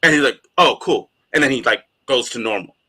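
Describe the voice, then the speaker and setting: A man co-hosting a casual podcast, talking in an animated way with frequent laughs and plenty of fillers. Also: medium pitch, a little fast, heavy background noise. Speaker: podcast co-host. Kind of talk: casual chat.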